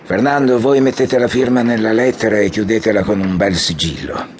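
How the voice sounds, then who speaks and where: An actor playing a weary, disillusioned general and former president in an audio drama, speaking in solemn, formal, measured phrases. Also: male voice, lowish voice, slight background noise, close to an everyday voice. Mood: dry, commanding. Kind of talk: audiobook radio drama acting. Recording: music behind the voice.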